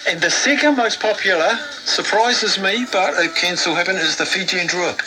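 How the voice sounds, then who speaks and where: A man presents sports talk in a slightly high voice. He is heard through an old valve radio's speaker, with music clearly audible behind him. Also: normal speed, low-quality recording. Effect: played through an old valve radio.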